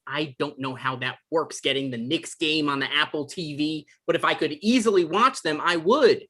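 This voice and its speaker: A man's voice: a little high, moderate pace, a bit bright. A man acting an anxious, easily flustered novelist in a conversational comedy script reading. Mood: exasperated. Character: anxious, defensive male novelist in his thirties.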